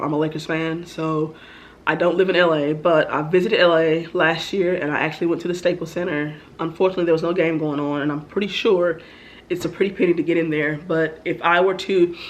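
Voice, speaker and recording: A woman's voice: sits low, fairly even intonation, a little fast, a little hiss or hum. A young woman, a bit stuffy from the flu, chatting casually through questions about herself with lots of ums. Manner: chatty, rambling, many ums. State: stuffy, getting over the flu. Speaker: vlogger. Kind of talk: casual get-to-know-me Q&A.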